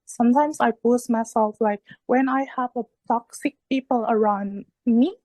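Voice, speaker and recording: A woman with a fairly high voice and an Indonesian accent, whose native language is Indonesian. She speaks in earnest, encouraging phrases that she often repeats as she searches for words. The sound is dry, with very little room in it. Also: normal speed, warm tone, no echo, rough recording. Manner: hesitant, repetitive phrasing in earnest, encouraging talk. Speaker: English learner in an online discussion group. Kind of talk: motivational discussion in an online meeting.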